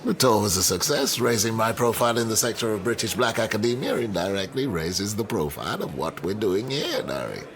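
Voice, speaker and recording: An actor playing a proud, defensive history professor in a naturalistic radio drama, insistent and questioning. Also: male voice, fairly low pitch, big pitch swings, fairly quick, few pauses, a crowd in the background. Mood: self-justifying.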